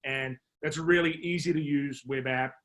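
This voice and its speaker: A man's voice: fairly high pitch, steady tone, fairly bright tone. New Zealand man explaining his business. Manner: measured, explanatory, with many fillers and restarts. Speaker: marketing executive guest. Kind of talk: business podcast interview.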